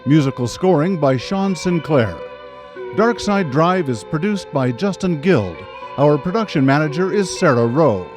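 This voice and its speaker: A man narrates as the announcer of a radio drama, in a theatrical scripted style. His delivery is slow and animated, with music playing behind him. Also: a little high.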